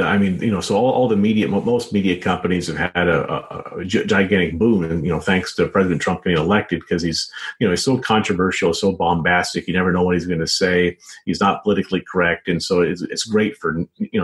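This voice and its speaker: An American man answering as an interview guest in a conversational way, halting, often repeating words and saying "you know". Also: deep voice, low-quality recording. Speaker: interview guest, author and journalist.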